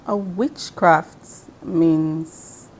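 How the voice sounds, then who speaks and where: Low-voiced woman explaining slowly. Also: darkish tone. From Nigeria. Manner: slow and measured, with frequent um and uh fillers. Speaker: interview guest, spiritual practitioner. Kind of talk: explanatory conversational interview.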